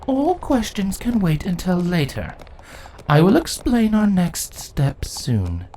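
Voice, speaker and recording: A woman's voice: lowish voice, very animated, dark tone. A woman voicing a cold, imperious, vengeful changeling queen in a dramatic fantasy story reading. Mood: stern, controlled. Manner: imperious, measured, menacing. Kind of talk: dramatic fan fiction audio reading. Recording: clearly noisy recording.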